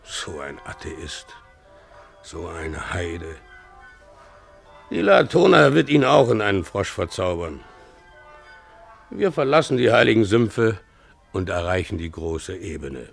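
Creaky, resentful donkey driver. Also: male voice, very animated, slow pace, long pauses, faint music behind, heavily acted. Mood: sullen, pious. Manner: grudging, muttering to shrill protest, stubborn. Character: poor, sly, pious, resentful donkey driver. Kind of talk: 1950s German radio play acting, narrating and dialogue.

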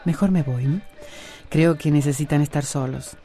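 A woman's voice: sits low, normal speed, mellow, faint music behind, lightly acted. An actress with a Costa Rican accent playing a bold, independent woman in a radio drama. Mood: gentle, reassuring. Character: bold, independent woman, supportive friend, guarded with her suitor.

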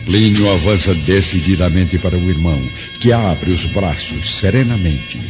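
A man's voice: low voice, moderate pace. A man with a Brazilian accent giving solemn, theatrical scripted narration for a radio drama. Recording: muffled, reverberant studio with music.

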